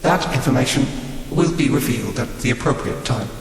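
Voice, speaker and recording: A man voicing a calm, formal artificial being that speaks of itself as "we" and evades questions. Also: medium pitch, fairly quick, very echoey, in a large hall, noisy background, natural voice. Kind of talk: audio drama acting.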